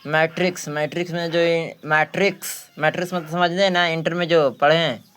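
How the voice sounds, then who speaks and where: A man's voice: fairly high pitch, little pitch movement, fairly quick, a bit bright, clear, resonant tone. A man with a Bihari accent teaching a maths practice lesson, repeating phrases and asking questions that he answers himself. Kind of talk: exam practice lesson, working through questions.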